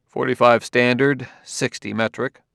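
A man voicing a patient middle-aged police detective in an audiobook, asking questions quietly and cautiously. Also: medium pitch, little pitch movement, a little slow, creaky, no echo, clean studio sound.

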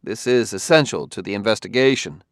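A man's voice: fairly low pitch, brisk, no echo, clean studio sound, natural voice. A man voicing a persistent male detective who presses his questions firmly.

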